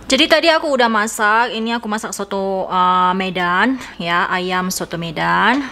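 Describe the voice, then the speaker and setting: A woman's voice: mid-range voice, lively intonation, moderate pace, bright, forceful tone. A woman from Indonesia, chatting casually to viewers in an informal, rambling, giggly vlog style. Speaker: vlogger.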